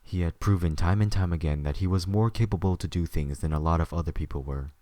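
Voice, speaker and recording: Male narrator, deep pitch, dry close recording, scripted audio drama storytelling. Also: fast talker, warm tone, no room sound.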